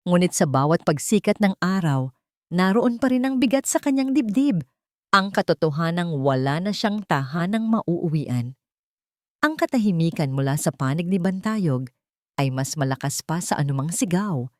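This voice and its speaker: A woman's voice: on the low side, expressive, normal speed, no room sound, studio quality. A woman with a Filipino accent narrating a scripted dramatic story as an audiobook.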